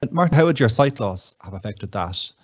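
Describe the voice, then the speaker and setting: A man's voice: medium pitch, animated, brisk, a little mellow, lo-fi sound. A man hosting a conversational interview and putting questions to his guest. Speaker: interviewer and host.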